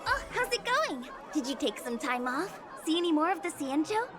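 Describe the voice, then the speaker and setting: A woman's voice: high voice, very animated, normal speed, a crowd in the background. A woman voicing a playful, chipper young adventurer in a video game, casual and teasing in manner. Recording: game music behind the voice.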